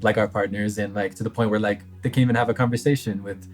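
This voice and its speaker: A man's voice: fairly low pitch, steady tone, fast, faint music behind. A man as a podcast guest, speaking reflectively and hesitantly, with many fillers as he thinks aloud. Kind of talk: reflective conversation.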